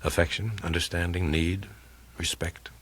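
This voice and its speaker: A man reading a crime audiobook as a world-weary, blunt male investigator who tells his case in a terse, dry, deliberate way. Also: low voice, little pitch movement, fairly bright tone, husky. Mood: earnest. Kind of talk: dramatic first-person audiobook reading of hardboiled crime fiction.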